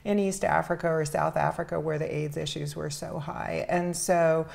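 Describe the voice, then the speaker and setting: Middle aged american lady being interviewed, kinda low gravelly voice, tells her story earnestly w pauses. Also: steady tone, darkish tone. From New York City, United States. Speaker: interview guest, charity founder.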